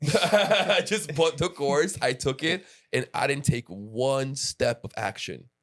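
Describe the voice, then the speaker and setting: A man's voice: mid-range voice, slow, a bit bright. A man in his late thirties hosting a podcast, chatting casually and rambling with restarts and asides. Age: 30s. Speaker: podcast host. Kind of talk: casual interview chat.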